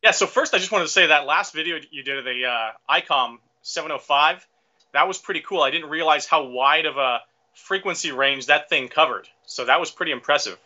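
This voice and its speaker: A man's voice: fairly high pitch, fairly quick, pushed and bright. A man in his thirties chatting casually as a livestream guest over a video call. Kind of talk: casual chat. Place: home workshop room, indoors. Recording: dull lo-fi video-call audio.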